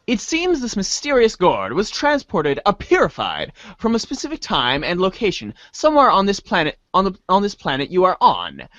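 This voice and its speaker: A man's voice: high voice, wildly swooping pitch, normal speed, hardly any pauses, fairly bright tone, no echo, highs cut off. A man giving a theatrical dramatic reading of scripted narration, now and then stumbling and rereading words. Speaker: voice reader in a webcomic reader's theater.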